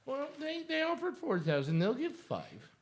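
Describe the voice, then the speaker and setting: An actor playing a greedy, nervous swindler in a radio drama, halting and stammering between oily politeness and bluster. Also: male voice, extremely high pitch, wildly swooping pitch, exaggerated voice. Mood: haggling, grudging. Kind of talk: theatrical radio drama acting.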